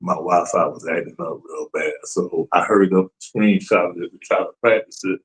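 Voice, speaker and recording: Low voiced guy, casual, keeps going right right. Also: moderate pace. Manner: agreeable, short affirmations. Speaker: newcomer to the class. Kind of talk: casual chat.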